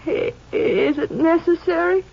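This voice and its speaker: A woman playing a grieving, hospitable sheriff's widow in a 1950s radio drama, with an American accent and a halting, folksy manner. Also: very high-pitched, animated, creaky, heavily acted. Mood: hesitant, trusting. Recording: very muffled, thin old radio recording.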